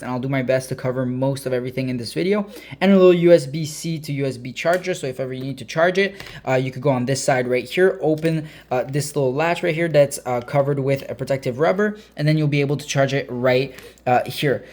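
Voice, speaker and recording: Fast-talking man reviewing a product. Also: on the high side, a little mellow. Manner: informal, hesitant explaining with frequent uh pauses. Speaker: product reviewer, video host. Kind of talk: casual hands-on product review.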